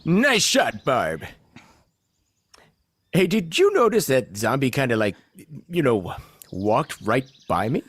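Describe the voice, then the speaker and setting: A man acts an excitable zombie-squad leader in an audio comedy. The voice is rough-edged and hugely animated. He gives orders with a bossy, easily wounded air, at an unhurried pace. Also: mid-range voice, exaggerated voice. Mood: bossy, puzzled. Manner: excitable, indignant, commanding. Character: bossy, insecure male zombie-hunting team leader. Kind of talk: comedic audio play acting.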